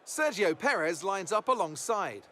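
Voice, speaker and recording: A man's voice: high-pitched, sing-song, normal speed, bright, forceful tone, slight background noise. A man giving polished, upbeat race broadcast commentary in an announcer's manner. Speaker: sports commentator.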